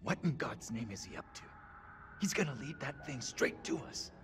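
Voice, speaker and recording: A man's voice: mid-range voice, sing-song, normal speed, close to an everyday voice. Urgent, commanding professor played by a man. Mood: alarmed. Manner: urgent, clipped, authoritative. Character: grim, commanding middle-aged professor under threat. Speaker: actor in a horror video game. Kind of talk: dramatic game cutscene acting. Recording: dramatic music behind.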